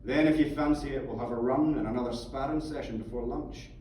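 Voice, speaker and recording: A man's voice: medium pitch, steady tone, a little fast, a little mellow, natural voice. A man reading the part of a fervent, sweary Scottish mentor who philosophises in an emphatic, coaxing manner. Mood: brisk, encouraging. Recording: echoey theatre from far off, faint noise.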